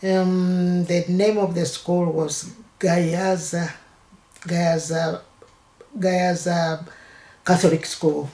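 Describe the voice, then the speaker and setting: An older woman from Uganda with a Ugandan accent, telling her life story in an interview and speaking in halting phrases with many restarts. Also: on the low side, flat delivery, dark tone, roomy sound. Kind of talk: oral history interview.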